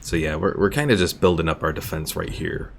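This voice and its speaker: A man's voice: low voice, fairly quick, creaky, a little hiss or hum. A man giving casual live gameplay commentary, thinking aloud and chatting with his viewers as he plays. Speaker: gaming streamer. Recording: game music behind the voice. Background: video game music.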